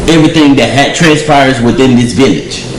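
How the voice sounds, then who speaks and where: A man speaking in a measured, lecturing way during a casual discussion. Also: echoey room, slight background noise, rough recording. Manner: measured, lecturing, drops lower when explaining.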